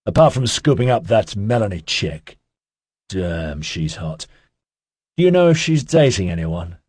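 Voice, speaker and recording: A man voicing a brash, laid-back young man who talks in loud, casual slang. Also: lowish voice, very animated, a little slow, breathy edge, no room sound, studio quality, full character voice. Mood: cajoling.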